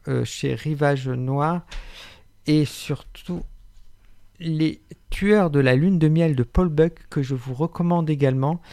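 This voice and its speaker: A man delivers a radio book column in a wry, articulate way, pausing now and then on hesitations. His voice sits a little high. The studio sound is close and dry. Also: vocal fry, warm tone, no echo. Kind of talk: radio literary chronicle, partly read.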